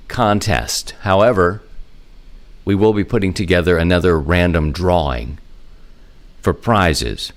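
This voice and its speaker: A man speaking as a podcast host in an informal, conversational way, making announcements to hobby listeners and often posing questions and answering them himself. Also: low-pitched. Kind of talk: casual announcement to amateur radio listeners.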